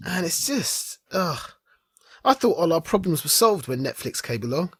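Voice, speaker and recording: An animated podcast co-host with a mid-pitched voice, recorded dry and close. The delivery is casual and opinionated, leaning hard on points in loose, chatty conversation. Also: moderate pace, husky, no echo. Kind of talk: casual chat about streaming and geek culture.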